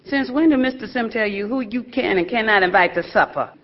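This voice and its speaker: An actress playing a sassy, proud young blues singer in a stage drama. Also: female voice, on the high side, fairly quick, muffled recording, natural voice. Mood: pointed.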